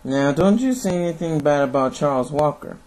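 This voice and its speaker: A woman voicing a leering, drunken small-town male sheriff with a slow drawl, in a storybook reading. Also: sits low, lively intonation, a little mellow, voice pushed down, low-quality recording. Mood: condescending.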